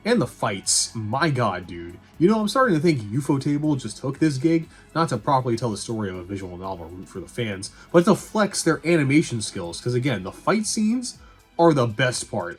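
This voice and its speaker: A man's voice: medium pitch, breathy edge. A man doing a casual, opinionated review voice-over in a blunt, sweary way with wry asides. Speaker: video essay host reviewing movies. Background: background music.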